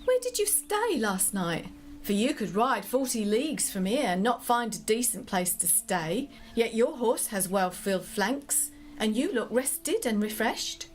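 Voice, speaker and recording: A woman's voice: on the high side, sing-song, a little slow. A woman playing a knowing, courteous young damsel in an audio drama, with formal archaic phrasing. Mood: curious, knowing.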